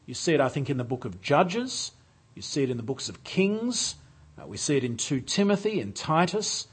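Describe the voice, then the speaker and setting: A man preaching a sermon in a church, measured and unhurried, with frequent "uh" fillers. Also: on the high side, slightly rough.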